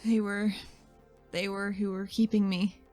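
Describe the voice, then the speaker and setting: A woman's voice: medium pitch, flat delivery, a little slow, dark tone, faint music behind. A woman chatting casually as a player in a tabletop roleplaying game, answering in short, informal lines.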